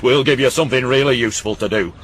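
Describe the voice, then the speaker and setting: A man's voice: medium pitch, quick pace, fairly bright tone, slight background noise, lightly acted. A man voicing a cantankerous old uncle who mocks and rants. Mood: ranting. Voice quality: gruff, rambling, scornful. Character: cantankerous old northern uncle, sharp-tongued and mocking.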